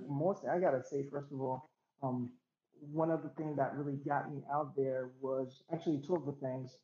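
A young man from Haiti, a DJ, talking in a relaxed, rambling way with many fillers, as a guest on a video call. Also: medium pitch, reverberant, muffled recording, slight background noise. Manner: laid-back, rambling with many fillers and restarts. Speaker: DJ and interview guest. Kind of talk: casual interview over a call.

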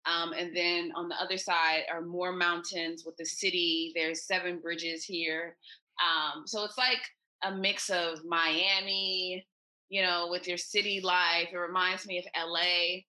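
A woman's voice: lowish voice, flat delivery, measured pace, bright, forceful tone. An American woman chatting as a podcast guest, telling upbeat stories over a remote call. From United States. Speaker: podcast guest, expat traveler. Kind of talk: casual interview chat.

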